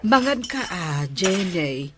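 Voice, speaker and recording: An actress playing a gentle middle-aged Filipino mother in a radio drama, coaxing and pleading. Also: fairly low pitch, sing-song, slow pace.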